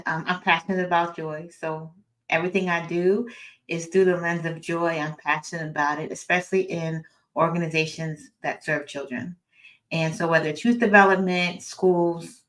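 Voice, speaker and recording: Middle-aged woman speaker, slightly low voice. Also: fairly bright tone, rough recording. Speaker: author and educator, guest speaker. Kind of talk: informal introduction and personal talk.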